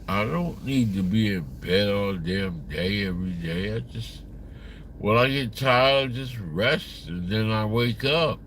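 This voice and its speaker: A man's voice: on the low side, fairly bright tone, a little hiss or hum. A man in his fifties chatting casually on a livestream, reading viewer comments aloud in a rambling, profane way. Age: 50s. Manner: rambling, profane, talks to chat.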